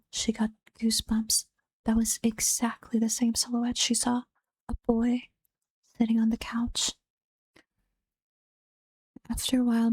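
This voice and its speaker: A woman softly whispering ghost stories in a soft-spoken ASMR reading, close to the mic. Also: on the high side, breathy edge, dry recording, rough recording.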